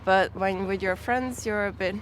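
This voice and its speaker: A woman student giving a measured answer in a street interview. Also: medium pitch, fairly even intonation, a little hiss or hum.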